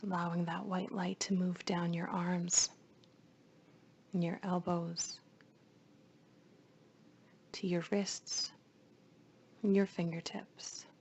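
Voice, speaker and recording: A woman leading a guided meditation in a soothing, hushed voice, with phrases often trailing into breath. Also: on the low side, flat delivery, slow, pauses often, slightly rough.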